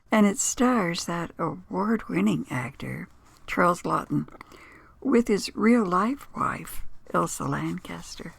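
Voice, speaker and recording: A woman hosting a channel, giving a casual, unhurried introduction to a programme. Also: fairly low pitch, creaky, breathy edge, dry recording. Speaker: channel host.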